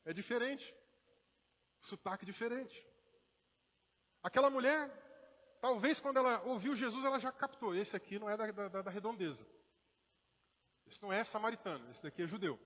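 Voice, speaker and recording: A Brazilian man preaches with a mixed accent that leans toward Minas Gerais, his voice sitting fairly high for a man. He talks to the congregation in a relaxed, conversational way and acts out short quotes. The sound carries the long reverb of a church hall. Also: moderate pace, pauses often. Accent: mixed Brazilian Portuguese, partly Minas Gerais. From Brazil, born in Rio, raised in inland Minas Gerais. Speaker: pastor. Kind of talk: sermon.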